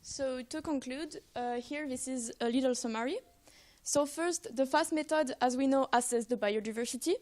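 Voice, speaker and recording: A woman's voice: high-pitched, a little mellow. A young woman, a student presenter, summarizing research results in a hesitant manner with simple non-native English phrasing. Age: young adult.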